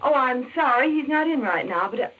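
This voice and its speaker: An actress playing a polite, anxious young shop assistant in a 1940s radio mystery, courteous and hesitant. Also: female voice, sits high, animated, brisk. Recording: noisy muffled old radio recording.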